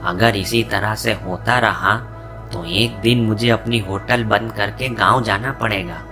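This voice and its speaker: A man playing a hotel-owner boss in an animated moral story. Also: fairly low pitch, unhurried, music in the background. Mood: brooding.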